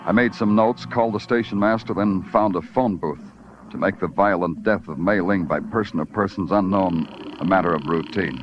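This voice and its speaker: A man playing a weary, hardboiled police detective in a 1940s noir radio drama, terse, wry and deadpan. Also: deep voice, normal speed, lightly acted. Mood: wry, brooding. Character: hardboiled, curt, weary New York police detective narrating. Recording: dull old radio recording, music behind.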